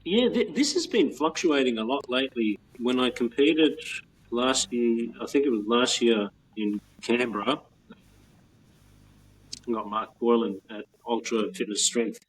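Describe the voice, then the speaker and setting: Man in his forties, Australian accent, husky voice, mid-pitched, relaxed and rambling, video call. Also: unhurried, poor audio. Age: 40s. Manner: relaxed, rambling with many restarts and soft laughs. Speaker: guest, patent office trainer. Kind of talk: casual interview chat.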